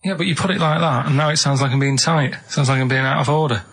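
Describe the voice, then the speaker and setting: A man in casual radio chat, earnest and matter-of-fact, explaining haltingly and checking as he goes. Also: on the high side, fairly even intonation, fairly bright tone. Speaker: radio show regular.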